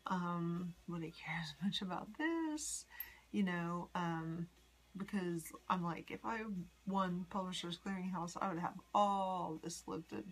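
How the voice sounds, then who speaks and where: A woman in her forties from the United States, rambling in a personal vlog with many ums and soft whispery asides. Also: on the low side, takes it slowly, low-quality recording. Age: 40s. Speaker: vlogger. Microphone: phone.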